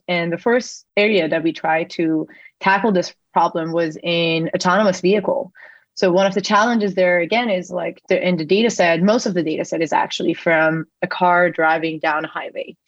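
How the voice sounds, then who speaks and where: Interview guest, young woman raised in Iran, lowish voice, talks through ideas with fillers and restarts, dull lo-fi recording. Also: brisk, no echo. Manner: explanatory, with frequent fillers and self-corrections. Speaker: podcast guest, company CEO. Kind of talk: conversational interview answers. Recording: dull lo-fi sound.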